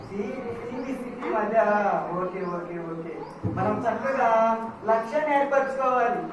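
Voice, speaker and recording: A host talking playfully with puppets, asking questions and gently admonishing them. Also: mid-range voice, moderate pace. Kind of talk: playful scripted dialogue with puppets. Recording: very echoey, distant mic, noisy room.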